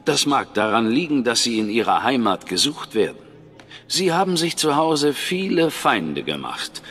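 A man's voice: mid-range voice, big pitch swings, moderate pace, fairly bright tone, breathy edge, exaggerated voice. A man voicing a suave, menacing English intelligence officer with formal, mocking politeness in a German video game. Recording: reverberant hall, music behind.

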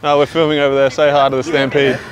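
A man's voice: a little high, fairly even intonation, a little fast, crowd noise. A young Australian man hosting a prank video, chatting casually outdoors at a petrol station, laughing often and swearing freely. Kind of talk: casual prank vlog chat. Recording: outdoor street noise.

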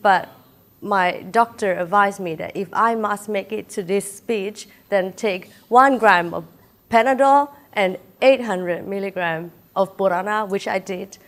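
A woman's voice: mid-range voice, a little slow, fairly bright tone. Malaysian woman, 30s, native Chinese speaker; chatty, personal keynote speaker, feverish, filler-heavy delivery. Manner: chatty, personal, laughs often, frequent "uh" fillers. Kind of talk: inspirational talk. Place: lecture room with audience.

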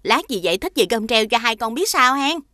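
A woman's voice: on the high side, lively intonation, brisk, noisy background, close to an everyday voice. A woman voicing a kindly middle-aged street food vendor in a children's cartoon, obliging and patient. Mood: friendly.

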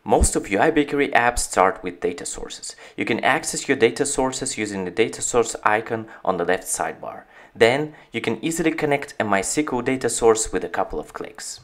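Man narrating a software walkthrough. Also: mid-range voice, brisk, few pauses. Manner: steady, explanatory walkthrough. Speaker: company founder presenting a product. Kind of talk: screen-recorded software tutorial. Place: voice-over on a screen recording.

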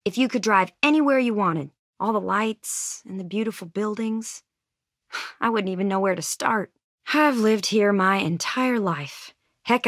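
A woman's voice: medium pitch, sharp tone, no echo, clean studio sound. A woman playing an earnest, anxious teenage girl survivor in a video game, friendly and folksy but hesitant and weighed down by guilt. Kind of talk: naturalistic video game voice acting.

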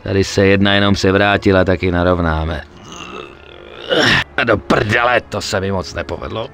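A male actor in a dubbed video game, playing an excitable, greedy gangster sidekick who swears and wheedles. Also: sits low, faint music behind. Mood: flustered.

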